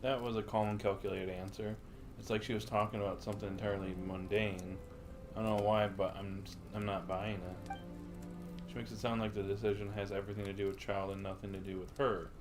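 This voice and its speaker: A man's voice: lowish voice, little pitch movement, brisk. A man livestreaming a game, reading lines aloud and commenting as he muses to himself. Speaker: streamer. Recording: game music behind voice. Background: game music.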